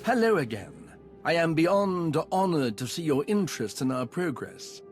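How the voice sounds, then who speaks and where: A man's voice: fairly high pitch, big pitch swings, normal speed. A man playing an enthusiastic, courteous archaeologist in a video game, speaking in a formal, effusive, wordy way. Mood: courteous, eager. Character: enthusiastic, courteous male archaeologist expedition leader, scholarly and eager. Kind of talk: video game dialogue acting. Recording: music behind the voice.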